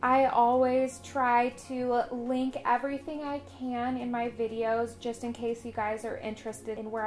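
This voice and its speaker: A woman in her thirties talks through her party prep in a bright, friendly home-vlog voice. Her pitch sits a little high, and light music plays underneath. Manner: upbeat, friendly, chatty. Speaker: homemaking vlogger. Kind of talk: cheerful home vlog narration. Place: living room at home.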